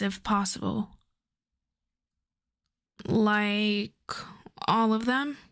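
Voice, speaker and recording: A woman playing a wry, flirtatious female supervillain coaxing a favor, in an intimate, conversational ASMR roleplay style. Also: medium pitch. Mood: persuasive, wry. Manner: unhurried, teasing, conversational.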